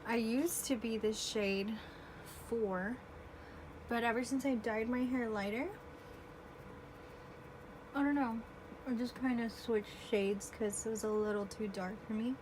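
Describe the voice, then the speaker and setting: Young woman in her twenties, unhurried talk, slightly high voice, phone recording with steady background noise. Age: 20s. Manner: chatty, self-deprecating, frequent throat clearing. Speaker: beauty vlogger. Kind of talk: casual makeup tutorial chat. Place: home room with air conditioner. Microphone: phone camera. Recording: noisy home phone recording.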